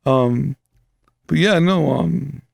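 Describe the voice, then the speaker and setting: Brief: New man, 30s, gravelly and dry, loose profane podcast co-host. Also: fairly high pitch, extremely slow, dry recording. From New York City. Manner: loose, profane, full of fillers and asides. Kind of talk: casual chat. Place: small studio room, indoors. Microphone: studio mic close up.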